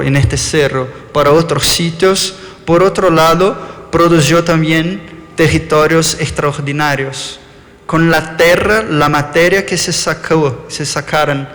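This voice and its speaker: A man's voice: a little high, fairly bright tone. Man lecturing in an echoing hall. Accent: Argentine-tinged Spanish. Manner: thoughtful, with frequent self-corrections. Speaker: architect giving a lecture. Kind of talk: live lecture presentation. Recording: long reverb in a lecture hall.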